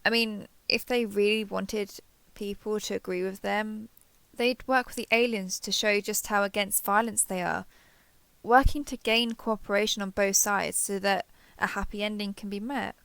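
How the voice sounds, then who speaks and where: A woman acts out a female alien passing as a human survivor in an intimate audio roleplay. Her voice is very dry and close, with a frequent creaky edge. She is hesitant and coaxing, eager to please and quick to cling. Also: mid-range voice, steady tone, a bit bright, dry recording. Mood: nervous, earnest.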